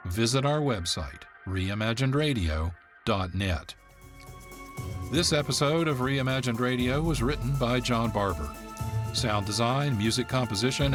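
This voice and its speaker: Male radio host with a mid-range voice, reading scripted, informative narration over music. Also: medium pitch. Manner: measured, informative, reads from a script. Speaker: producer and host.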